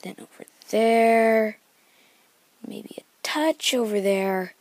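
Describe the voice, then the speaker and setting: A woman voicing a small, determined artist beetle in a toy animation, halting and effortful, partly whispering. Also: a little high, a little mellow, no room sound. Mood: concentrating.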